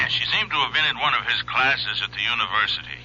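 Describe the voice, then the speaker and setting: World-weary, hard-edged homicide cop. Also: male voice, mid-range voice, lively intonation, a little fast, sharp tone. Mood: weary, businesslike. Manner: terse, matter-of-fact. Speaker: police detective. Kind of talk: old radio drama acting. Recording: muffled, hissy old radio recording.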